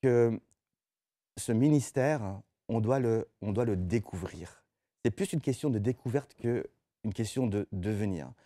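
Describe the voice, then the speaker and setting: A man's voice: fairly low pitch, measured pace, mellow, dry recording, clean studio sound. A middle-aged man from Belgium, speaking conversationally as a pastor being interviewed, often hesitating and repeating words as he explains. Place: TV studio set.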